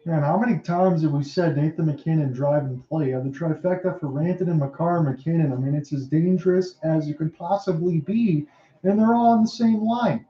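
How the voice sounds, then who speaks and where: Excitable hockey play-by-play man, roomy sound. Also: fairly high pitch. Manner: rapid excited calls that rise sharply on chances. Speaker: play-by-play announcer. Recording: dull lo-fi roomy home mic.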